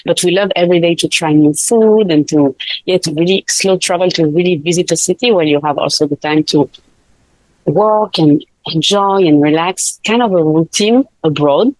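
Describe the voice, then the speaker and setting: Low-voiced woman, laughing easily. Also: normal speed, a little mellow, no echo, poor audio. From Switzerland. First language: not English. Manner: laughs often, many fillers and restarts. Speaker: guest, life coach. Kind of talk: casual interview chat.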